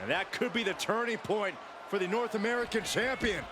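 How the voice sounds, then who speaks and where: A man giving excited play-by-play commentary as a wrestling announcer in a video game. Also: sits high, a little fast, natural voice. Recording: loud arena noise over commentary.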